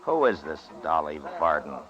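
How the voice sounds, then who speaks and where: An actor with an American accent playing a stern, weary frontier marshal in a radio western, measured and laconic. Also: male voice, a bass voice, big pitch swings, crowd noise. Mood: businesslike. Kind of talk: old-time radio western acting. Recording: muffled old-time radio recording.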